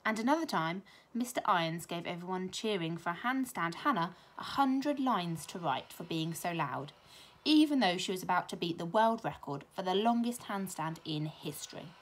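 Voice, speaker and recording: A British woman, a primary school teacher, reading a children's story aloud with expressive narration. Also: lowish voice, normal speed, poor audio.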